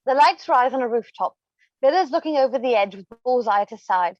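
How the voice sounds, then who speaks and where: A woman's voice: a little high, expressive, a little fast, no echo, highs cut off. A woman narrating scripted stage directions for a play reading.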